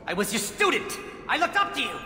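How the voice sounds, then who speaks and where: An actor playing a bitter, defiant alien scientist and former student in a video game. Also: male voice, extremely high pitch, big pitch swings, quick pace, sharp tone, exaggerated voice. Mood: bitter, resentful. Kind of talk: video game character acting. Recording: echoey hall reverb under music.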